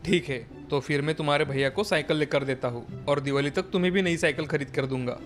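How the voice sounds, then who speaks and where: A man's voice: a little high, quick pace, faint music behind, lightly acted. A man playing an agreeable, dutiful family man in a cartoon story. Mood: patient, fatherly.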